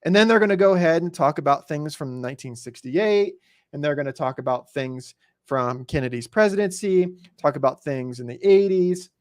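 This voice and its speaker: An American man talks through the news in a methodical, explanatory way. His voice sits a little high for a man, and the sound is close and dry. He shifts between reading out articles and commenting conversationally. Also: expressive, moderate pace, dry recording. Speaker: podcast host commenting on news.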